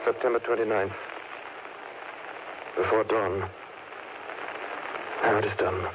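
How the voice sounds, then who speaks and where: An actor playing a sombre, shaken doctor with a dramatic, clipped delivery, in the manner of 1930s radio horror drama. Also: male voice, on the low side, steady tone, slow. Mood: haunted, horrified. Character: sombre, shaken male doctor narrating his horror diary. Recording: tinny, noisy old radio broadcast recording.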